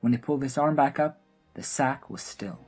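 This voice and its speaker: A woman's voice: a bass voice, moderate pace, a little mellow, slightly rough, soft background music. A woman narrating a scripted fantasy audio drama as its storyteller.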